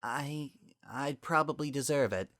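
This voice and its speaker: A man acts out a guilty younger sister, a female unicorn pony in a storybook drama. The voice sits high and tense, blunt and defensive with plenty of emotion. The recording is dry. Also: a little slow, fairly bright tone, no echo, close to an everyday voice. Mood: guilty, hesitant.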